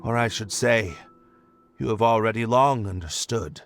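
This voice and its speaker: An actor playing a disillusioned young nobleman, talking in a hesitant, halting, reflective way. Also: fairly low pitch, a bit bright. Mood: rueful. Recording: game cutscene with music behind.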